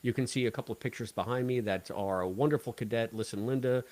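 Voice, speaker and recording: A man's voice: medium pitch, quick pace, crowd noise. A man hosting a casual live podcast, bantering with jokey wordplay and a playfully theatrical delivery. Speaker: podcast host.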